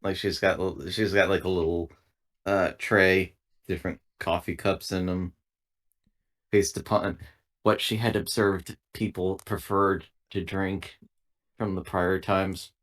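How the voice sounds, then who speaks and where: A man with a somewhat low voice takes part in a tabletop game. He improvises what his character does in casual, conversational talk. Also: flat delivery, unhurried, fairly bright tone. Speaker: tabletop roleplaying player.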